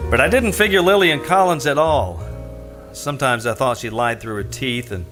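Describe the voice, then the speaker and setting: A wry, smooth-talking private detective, played by a man in a radio drama. His voice sits in a middle male register and he talks laconically, like someone telling his own case. Music runs behind him. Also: medium pitch, lightly acted. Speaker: lead actor and narrator. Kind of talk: old-time radio detective drama, first-person narration.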